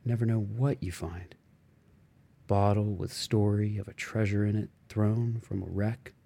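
A man reads literary fiction in a low, relaxed voice. His delivery is unhurried and musing, moving through fragmented thoughts with expressive shifts. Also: creaky. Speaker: audiobook reader. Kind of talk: literary audiobook narration of interior monologue.

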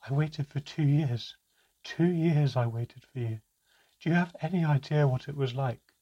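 A man's voice: mid-range voice, fairly even intonation, normal speed, mellow, breathy edge, dull audio, pitched above the performer's own voice. A man voicing a bitter, hurt companion.

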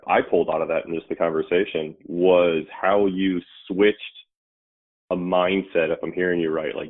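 A man with a low voice leads a relaxed interview, reflecting his guest's points back in his own words. He sounds thin and narrow, as if heard over a phone. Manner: reassuring, summarizes and reflects the guest's answers back. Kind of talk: conversational interview. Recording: tinny phone-like line.

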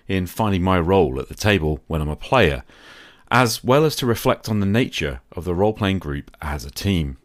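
A man with a very low voice hosts a podcast. He talks reflectively on his own, in a dry recording with very little room sound. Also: dry recording. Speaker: podcast host.

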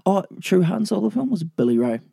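A man co-hosting a podcast, chatting about a film in a loose, rambling, jokey way, his voice raspy and sleepy from the late hour. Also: high voice, sing-song, fast talker, warm tone, no room sound, clean studio sound. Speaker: podcast co-host. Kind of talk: casual film review chat.

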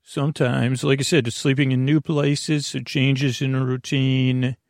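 A man with a creaky, gravelly voice at an ordinary male pitch rambles through a soothing bedtime podcast monologue. He speaks haltingly and wanders off into digressions. The sound is close and dry. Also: flat delivery, no room sound. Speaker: podcast host.

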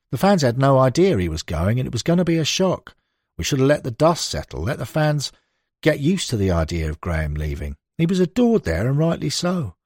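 A man voicing a blunt, animated football manager reminiscing. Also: mid-range voice, dry recording, clean studio sound, voice pushed up. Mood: rueful, exasperated. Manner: conversational, emphatic, blunt storytelling. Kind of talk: acted interview quotations in an audiobook.

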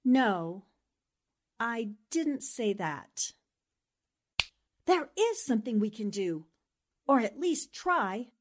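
Actress as a high-voiced, shrewd lady reporter. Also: big pitch swings, noisy background. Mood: firm, scheming. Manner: brisk, decisive, articulate. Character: shrewd, take-charge woman newspaper reporter. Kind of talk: old-time radio drama acting.

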